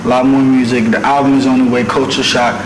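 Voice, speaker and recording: A young man from Nigeria who speaks with a relaxed American accent, tossing in slang and a steady "man" as he chats with his interviewers. His voice sits at an ordinary middle pitch. The room around him is echoey, with a steady noise underneath. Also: quick pace. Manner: laid-back slangy talk, often ending with "man". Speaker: musician guest. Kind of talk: casual interview chat. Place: studio. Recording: roomy studio with background noise.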